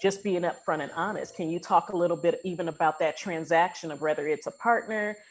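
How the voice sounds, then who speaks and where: A woman podcast host with a slightly low voice. She talks casually in an affirming, explanatory manner, as if working through advice with a guest. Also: fairly quick, slight background noise, rough recording. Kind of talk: casual interview conversation.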